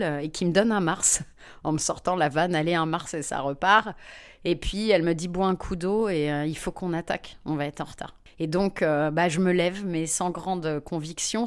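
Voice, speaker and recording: A woman comedian, a guest in an interview, telling lively personal anecdotes with frequent fillers. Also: on the low side. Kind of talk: anecdotal storytelling in conversation.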